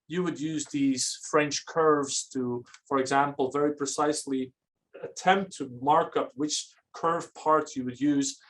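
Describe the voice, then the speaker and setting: A man from Belgium, giving an academic architecture lecture in a measured, explanatory manner. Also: a little high.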